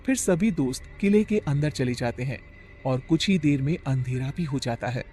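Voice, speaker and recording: A man narrating a cartoon horror story in a steady storytelling manner. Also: mid-range voice, moderate pace, faint music behind.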